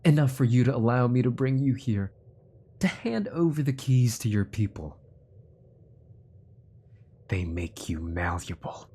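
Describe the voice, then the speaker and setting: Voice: mid-range voice, lively intonation, a little slow, pauses often, warm tone, a little hiss or hum. An actor playing a menacing, centuries-old sorcerer-scientist in a fantasy audio drama, with a smug, theatrical delivery that slips into whispers. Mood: gloating, patient.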